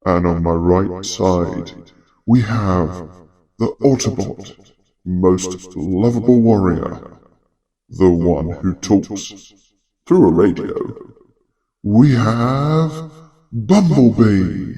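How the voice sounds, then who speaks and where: Man doing a very slow, grandiose arena game master. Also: low voice, dark tone, distant mic, pitched below the performer's own voice, heavily acted. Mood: grand, theatrical. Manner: slow, drawn-out ringmaster announcing.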